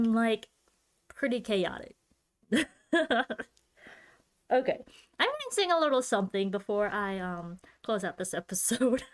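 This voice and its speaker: Woman podcast host, slightly high voice, giggly, loopy rambling. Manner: giggly and loopy, thinking aloud. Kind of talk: casual rambling solo podcast talk.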